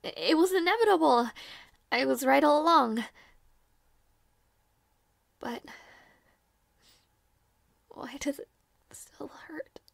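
Woman voicing a devoted young maid, high voice, slow pace, soft, hesitant, secretly heartbroken, audio roleplay. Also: lively intonation, creaky, no room sound, clean studio sound, heavily acted. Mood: sad, wistful. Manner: soft, hesitant, halting, polite.

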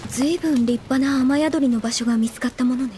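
A woman's voice: high-pitched, quick pace, noisy background, close to an everyday voice. An actress playing a serious, reproving young woman with composed, firm speech in an anime audio drama. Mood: dry.

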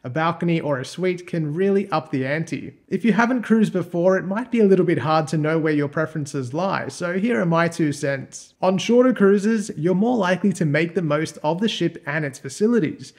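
A man, giving upbeat, clear, scripted cruise advice to camera from a ship's suite. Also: on the high side, lively intonation, normal speed, hardly any pauses, a little mellow. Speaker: cruise vlogger. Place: cruise ship suite living room.

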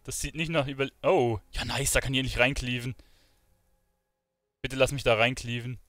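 A man's voice: mid-range voice, fairly even intonation, normal speed, fairly bright tone, no echo. A man streaming a video game, thinking aloud in informal commentary sprinkled with English gamer slang. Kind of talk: casual live gaming commentary.

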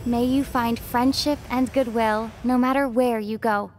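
Casting: woman playing steady young game adventurer, high voice, sincere and formal, faint noise behind. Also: unhurried, natural voice. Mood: solemn. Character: earnest young world traveler, kind and steady. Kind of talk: video game voice acting.

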